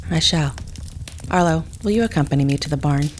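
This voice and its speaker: A woman's voice: low voice, a little fast, a little mellow, a little hiss or hum, natural voice. A woman playing a composed character in an audio drama, speaking in a formal, precise manner.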